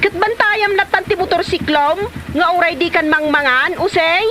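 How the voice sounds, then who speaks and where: A woman acting a very high-pitched aggrieved Ilocano wife. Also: moderate pace, bright, forceful tone. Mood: resentful. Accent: Filipino, Ilocano. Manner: complaining, plaintive. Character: neglected, nagging wife, aggrieved and jealous of motorbike. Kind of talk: Ilocano comedy radio-style drama acting. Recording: clearly noisy background ambience.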